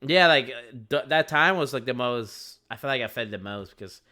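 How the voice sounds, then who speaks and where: Young male co-host from Venezuela; bright, edgy tone; reflective, halting casual talk. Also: medium pitch, expressive. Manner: hesitant, restarts often, reflective. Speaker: podcast co-host. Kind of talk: casual chat.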